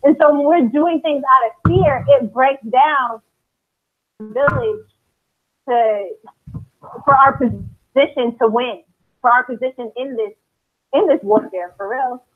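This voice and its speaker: American lady on a tinny phone-like line, high voice, earnest and reflective, sharing personal stuff. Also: unhurried, pauses often. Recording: thin phone-like call audio.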